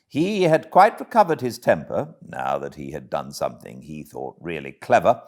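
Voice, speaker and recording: A British man narrating a classic storybook as an audiobook reader, with expressive delivery. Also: a bass voice, moderate pace. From Britain.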